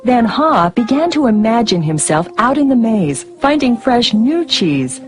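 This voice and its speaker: A woman giving scripted storybook narration in an expressive way, with music behind her. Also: on the high side, measured pace.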